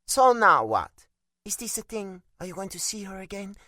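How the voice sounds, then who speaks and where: A man's voice: sits high, very animated, moderate pace, bright, forceful tone, no echo, clean studio sound, pitched above the performer's own voice, heavily acted. A male audiobook narrator voicing a feisty, blunt young woman who scolds and teases like a little sister. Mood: prodding. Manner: scolding, teasing, frank.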